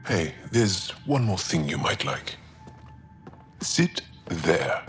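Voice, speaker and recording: Deep, unhurried, gentle alien. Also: male voice, very animated, faint music behind, exaggerated voice. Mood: wistful, open. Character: gentle, sentimental male alien crewmate, fond of family. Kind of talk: video game dialogue acting.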